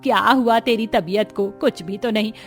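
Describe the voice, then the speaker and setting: A woman's voice: mid-range voice, steady tone, normal speed, husky, soft background music. A woman playing an older mother-in-law, the commanding head of the household in a cartoon family story. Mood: bossy, dismissive. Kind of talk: Hindi cartoon story acting.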